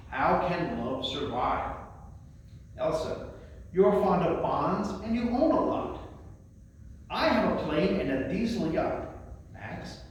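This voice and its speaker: High-pitched man reciting in an echoey room. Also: a little mellow. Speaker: librarian presenting a poetry reading. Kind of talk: spoken presentation and recitation of poems. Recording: very echoey room, distant mic, faint noise.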